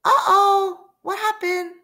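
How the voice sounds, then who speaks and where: A woman voicing a perky, cute, eager little software app in a children's storybook read-aloud. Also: very high voice, little pitch movement, takes it slowly, voice pushed up, heavily acted. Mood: chirpy.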